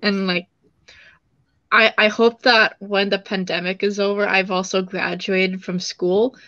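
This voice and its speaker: A young woman artist talking quickly and excitedly on a video call, often breaking into giggles. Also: mid-range voice, no room sound. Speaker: featured artist. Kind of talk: casual video-call chat. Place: home room indoors. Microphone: laptop webcam mic on video call. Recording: dull dry webcam audio.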